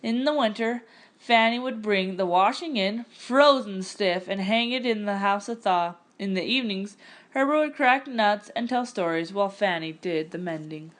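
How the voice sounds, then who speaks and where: A woman narrating a picture-book story aloud in an expressive, scripted storytelling style. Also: mid-range voice, normal speed.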